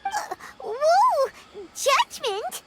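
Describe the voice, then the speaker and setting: A woman voicing a small, plucky, excitable floating girl companion in a game, with childlike, exaggerated exclamations. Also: very high-pitched, extremely slow, heavily acted. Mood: alarmed. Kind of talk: animated game voice acting. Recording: music behind the voice.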